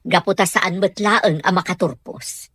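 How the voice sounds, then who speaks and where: A woman's voice: lowish voice, unhurried, a bit bright, husky, no room sound, studio quality. A woman with an Ilocano accent playing a caring, worried middle-aged mother in an audio drama.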